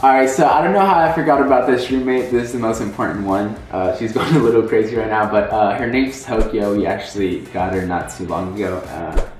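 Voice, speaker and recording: Low-voiced young man in a roomy space. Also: brisk. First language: Spanish. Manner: rambling, lots of "like" fillers. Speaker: social media influencer. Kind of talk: casual Q&A vlog. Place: at home. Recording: roomy echo with faint music.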